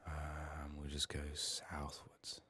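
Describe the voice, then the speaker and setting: Very deep, soft-spoken man, often whispering. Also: completely flat delivery, takes it slowly, husky. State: sick, resting a strained voice. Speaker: video game streamer.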